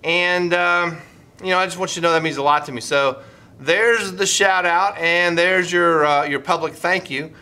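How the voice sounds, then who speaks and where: Bright-voiced middle-aged man, halting vlog chat. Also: sits high, fairly even intonation. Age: 50s. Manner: halting, restarts sentences and repeats words. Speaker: vlogger. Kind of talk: casual rambling vlog chat.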